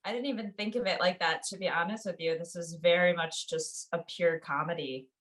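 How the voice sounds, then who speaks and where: A woman's voice: lowish voice, a little fast, fairly bright tone. A woman co-hosting a podcast, chatting casually about fiction. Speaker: podcast co-host. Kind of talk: casual conversation.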